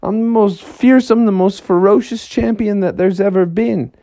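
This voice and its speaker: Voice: medium pitch, steady tone, normal speed, warm tone, slight background noise, pitched above the performer's own voice, close to an everyday voice. A storyteller voicing a boastful male frog who calls himself a fearsome wrestling champion, in a bedtime story told to a child. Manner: swaggering, bragging.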